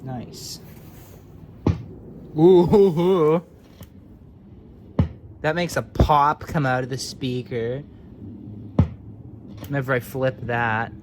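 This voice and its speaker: A man's voice: medium pitch, fairly even intonation, extremely slow, pauses often, noisy background. A man giving casual, hands-on commentary as a hobbyist electronics repairer, offhand and muttering as if to himself.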